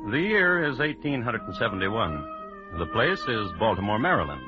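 Man, low but varied pitch, wry deadpan storytelling, vintage radio crime host, music behind. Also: moderate pace, lo-fi sound. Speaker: host and narrator.

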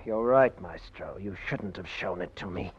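A man playing an intense, covetous pupil of a maestro in an old radio drama, with a husky voice. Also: low-pitched, normal speed. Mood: menacing. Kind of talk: 1940s radio drama acting. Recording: muffled old radio recording, faint music.

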